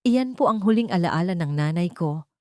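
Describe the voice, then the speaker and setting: A woman voicing a meek, sincere young housemaid in an audiobook drama, soft, deferential and pleading. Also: lowish voice, lively intonation, normal speed, no room sound, clean studio sound, close to an everyday voice. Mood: meek, sorrowful.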